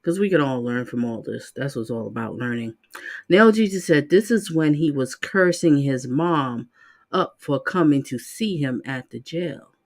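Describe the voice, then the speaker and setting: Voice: medium pitch, moderate pace. A livestream host giving opinionated, conversational commentary in a casual style. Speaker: host of a livestream reaction channel.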